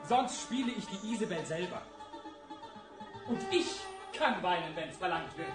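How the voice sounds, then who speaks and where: An actor playing an impatient, sardonic director in a German radio crime drama. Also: male voice, sits high, animated, normal speed. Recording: distant, echoey radio scene with music.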